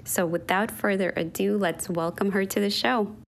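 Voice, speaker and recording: A woman hosts a podcast in a calm, measured voice. She reads her guest's introduction at an even pace and then moves into relaxed conversation. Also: mid-range voice, creaky, slight background noise. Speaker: podcast host. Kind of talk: scripted intro then casual interview.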